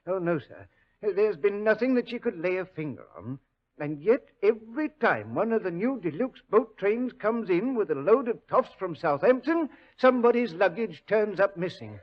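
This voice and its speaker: A thick-voiced station master. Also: male voice, high-pitched, very animated, moderate pace. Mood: earnest. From Sussex. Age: middle-aged. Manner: thick-voiced, plain-spoken, flustered. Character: gruff middle-aged male railway station master. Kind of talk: 1940s radio acting. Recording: dull old radio broadcast.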